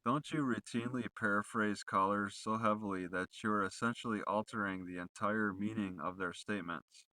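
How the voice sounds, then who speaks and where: A man's voice: lowish voice, steady tone, normal speed, pushed and bright, no room sound, poor audio. A man putting accusatory, leading questions in the style of a rehearsed cross-examination.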